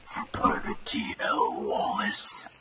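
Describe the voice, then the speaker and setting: A man voicing a menacing undead spirit in a radio drama, slow and ominous, with a whispery sepulchral voice. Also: close to an everyday voice. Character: menacing undead spectral avenger from the Great War. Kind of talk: old-time radio drama acting.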